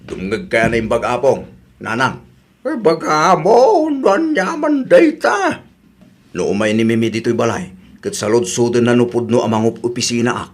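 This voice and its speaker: A man's voice: medium pitch, big pitch swings, moderate pace. A man playing a boastful, lying young suitor in an Ilocano radio comedy drama, with an Ilocano accent and an exaggerated, stammering delivery. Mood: pleading, scheming.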